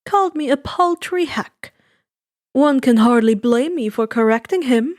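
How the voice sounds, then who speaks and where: Storybook magician: fussy gentleman, formal and easily affronted, light high voice, performed by a woman. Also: lively intonation, measured pace, dry recording, clean studio sound. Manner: formal, prim, long-suffering.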